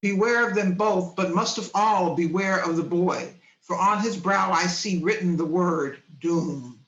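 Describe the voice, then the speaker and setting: A man's voice: sits high, a little slow, fairly bright tone. A man playing a grave, solemn Christmas ghost in an amateur radio play over a video call. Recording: roomy, dull video call audio.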